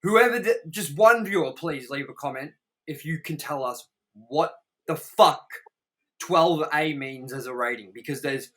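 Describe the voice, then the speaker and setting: A man's voice: on the high side, a little slow, a bit bright. A man hosting a casual movie review chat, exasperated and prone to swearing. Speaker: host of a movie review series.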